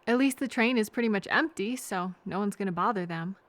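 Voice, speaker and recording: A woman playing a kind, anxious, chatty traveler who gently overthinks and thinks aloud in a hesitant, earnest audio roleplay. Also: medium pitch, brisk, no room sound, natural voice. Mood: gentle, curious.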